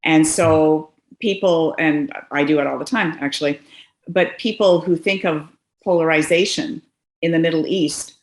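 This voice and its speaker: A Canadian woman in her sixties, a guest on a video call from her living room, talks in a lively way with frequent laughs and quick asides. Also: low voice, echoey room. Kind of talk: casual conversation. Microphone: webcam on a video call.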